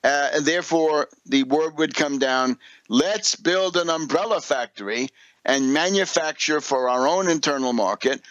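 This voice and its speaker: A man hosts a political radio monologue in a somewhat high-set voice. He lectures didactically, posing questions and checking that the point lands. The sound is close and dry, with very little room around it. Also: moderate pace, a bit bright, no echo, lo-fi sound. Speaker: radio show host and political commentator. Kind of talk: political and economic commentary monologue.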